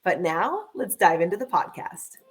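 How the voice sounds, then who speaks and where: A woman's voice: lowish voice, very animated, normal speed, breathy edge. A woman hosting a podcast, introducing her guest with effusive enthusiasm. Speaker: podcast host. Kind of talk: upbeat interview hosting.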